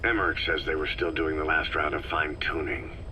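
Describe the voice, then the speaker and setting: Stoic soldier boss, extremely deep, game dialogue, clipped understated delivery, off-mic. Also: male voice, little pitch movement, a little fast, bright, forceful tone, heavily acted. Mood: terse, probing. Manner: terse, understated, dry. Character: terse, stoic male mercenary commander. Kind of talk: scripted video game dialogue. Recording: distant from mic, faint noise.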